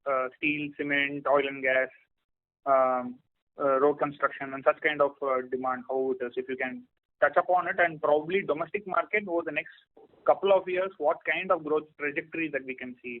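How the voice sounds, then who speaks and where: A man's voice: a little high, fairly even intonation, a little fast. A man calling in as an analyst, politely and hesitantly asking questions on an earnings call. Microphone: telephone handset or line. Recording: phone-like call line.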